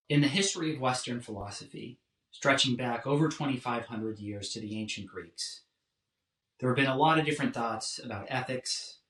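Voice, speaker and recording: A man giving a scripted philosophy lecture in a measured, deliberate way. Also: medium pitch, a bit bright. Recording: roomy echo, distant from mic.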